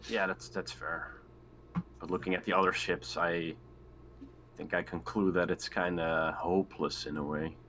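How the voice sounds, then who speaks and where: A man playing a tabletop game, chatting casually in a halting, hesitant way. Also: low-pitched, little pitch movement, pushed and bright, a little hiss or hum. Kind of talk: casual chat.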